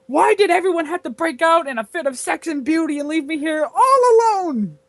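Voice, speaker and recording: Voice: very high-pitched, lively intonation, brisk, no room sound, pitched above the performer's own voice. A reader putting on a screechy melodramatic shocked character. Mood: shocked, shrieking. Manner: screechy, exclaiming, over-dramatic. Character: shrieking, melodramatic cartoonish story character in shock.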